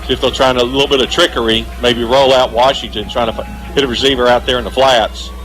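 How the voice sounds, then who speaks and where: A man's voice: mid-range voice, fairly even intonation, fast talker, fairly bright tone, faint music behind, rough recording. A man giving live radio analysis of a football game as the color commentator.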